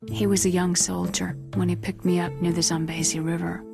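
An actress playing a haunted young woman scientist in a video game, sorrowful and vengeful, speaking in a hushed, deliberate way. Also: on the low side, level tone, vocal fry. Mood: grieving. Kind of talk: dramatic video game voice acting. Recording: music under the voice.

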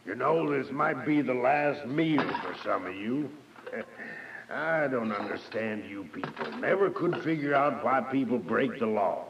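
A man's voice: mid-range voice, expressive, slow pace, recorded from a distance. A man playing a taunting, commanding prison guard in a radio drama. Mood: mocking, menacing. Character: menacing, mocking male prison guard. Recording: dull, noisy radio drama recording, off mic.

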